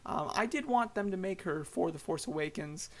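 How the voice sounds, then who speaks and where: A slightly hoarse man giving casual, rambling voice-over thoughts on collectible figures, with many fillers and restarts. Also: high voice, lively intonation, moderate pace, a bit bright. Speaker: toy collector vlogger.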